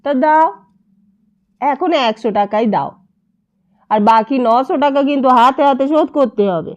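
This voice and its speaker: Woman voicing a shrewd, grasping older auntie, high-pitched. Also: a little slow, lo-fi sound. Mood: haggling. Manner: wheedling, complaining, familiar.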